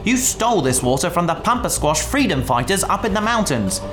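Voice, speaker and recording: An actress playing a reluctant, sheepish pacifist space hero in a sci-fi audio drama, with a hesitant, mild-mannered, protesting way of talking. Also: female voice, lowish voice, big pitch swings, fairly quick, over music. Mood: puzzled, defensive.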